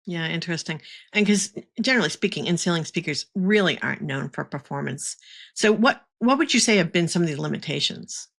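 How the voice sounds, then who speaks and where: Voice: medium pitch, expressive, brisk, creaky, fairly bright tone. An interviewer hosting a casual chat, asking questions and prompting the guest for explanations. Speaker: interviewer, host.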